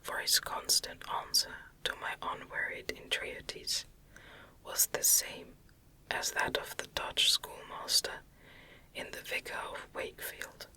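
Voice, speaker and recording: Female ASMR audiobook narrator: whispers throughout, slow and careful phrasing, close on the mic. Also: rough recording. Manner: soft, slow, deliberate delivery with careful phrasing. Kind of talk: ASMR whispered reading of a classic novel.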